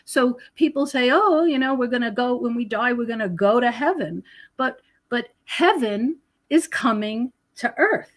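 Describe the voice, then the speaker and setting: An American woman with a somewhat high voice talks as a guest in a podcast discussion. She explains her views conversationally and leans on key words for emphasis. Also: lively intonation, measured pace. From United States. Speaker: podcast guest, researcher of prophecy and UFOs.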